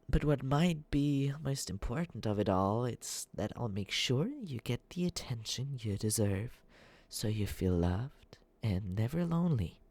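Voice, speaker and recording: An obsessive, lovesick young man, acted by a male ASMR performer, awkward and sweetly creepy. His husky voice lingers slowly over words and slips into soft, breathy asides. The pitch wanders up and down as he dotes nervously on the listener. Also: no room sound, full character voice. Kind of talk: ASMR roleplay.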